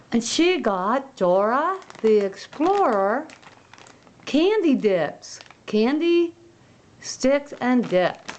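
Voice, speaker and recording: A woman hosting a toy unboxing video, gently showing and naming each item in a sing-song way. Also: high-pitched, very animated, a little hiss or hum. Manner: slow, gentle, sing-song show-and-tell.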